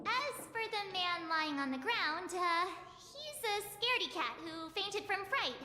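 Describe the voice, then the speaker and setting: A woman's voice: very high-pitched, very animated, a little slow, background noise, full character voice. A woman voicing a bubbly, chatty, youthful girl adventurer in a video game, perky and casual, with music behind her.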